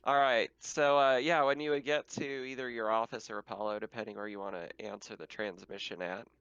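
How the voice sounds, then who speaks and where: A man's voice: medium pitch, steady tone, fairly quick, fairly bright tone, clear, resonant tone, no echo, lo-fi sound. A man running a tabletop roleplaying game, narrating casually as game master.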